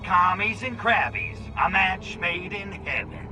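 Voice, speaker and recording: An actor playing a crude, cocky alien invader in a video game, brash and full of sarcastic wisecracks. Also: male voice, high-pitched, animated, unhurried, over music. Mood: weary sarcasm. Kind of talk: video game cutscene acting.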